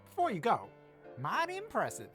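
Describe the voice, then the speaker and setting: An actor playing an apologetic, folksy cowboy cook in a game, eager to please and deferential. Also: male voice, high-pitched, wildly swooping pitch, normal speed, fairly bright tone, soft background music, exaggerated voice. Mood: admiring, eager. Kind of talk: visual novel game character acting.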